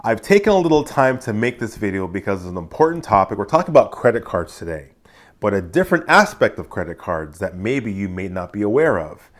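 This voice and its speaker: A man's voice: lowish voice, sing-song, brisk. Congested nasal man hosting a finance vlog. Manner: chatty, upbeat and encouraging. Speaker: personal finance channel host. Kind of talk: casual direct-to-camera talk.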